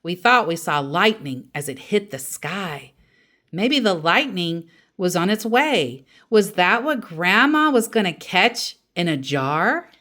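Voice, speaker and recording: A woman reading a children's story aloud as an animated storyteller, acting out the dialogue expressively. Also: fairly low pitch, slow pace. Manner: acts out quoted dialogue expressively.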